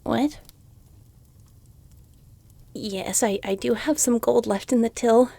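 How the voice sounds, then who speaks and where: Woman acting a creaky-voiced, exasperated fantasy shopkeeper woman. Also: on the high side, slow pace, warm tone, dry recording, exaggerated voice. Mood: flustered, incredulous. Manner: dry, sardonic, muttering asides under the breath. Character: weary, exasperated female fantasy shopkeeper, reluctantly polite. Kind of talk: scripted fantasy audio roleplay.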